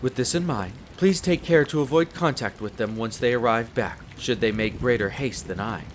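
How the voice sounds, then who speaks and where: An actor voicing a devoted, polite wolf butler who serves as head servant, in a scripted roleplay drama. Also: male voice, medium pitch, moderate pace, lightly acted. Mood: reading aloud, earnest. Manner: polite, formal, deferential. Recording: rain noise over the voice. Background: steady rain.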